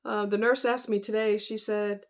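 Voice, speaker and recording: A woman's voice: medium pitch, level tone, normal speed. A woman vlogger talking casually and directly to her viewers in a folksy, conversational, emphatic way.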